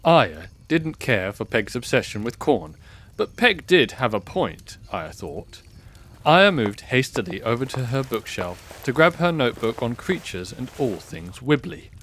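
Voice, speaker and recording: A man narrating a scripted fantasy audio drama in a measured, expressive storybook style. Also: mid-range voice. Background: music.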